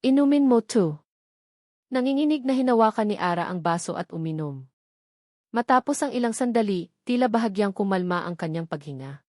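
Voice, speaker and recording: A woman's voice: medium pitch, animated, fairly quick, dry recording. Woman narrating drama, dry close sound. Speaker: story narrator. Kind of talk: dramatic scripted storytelling narration.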